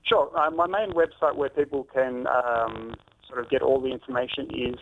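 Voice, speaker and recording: A man in his thirties speaks over a thin, tinny phone line with a gravelly, fry-laden voice. He answers earnestly, filling gaps with ums and restarting his sentences. His pitch stays in a middle range. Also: steady tone, moderate pace. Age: 30s. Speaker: fitness author and trainer. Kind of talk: phone-in interview.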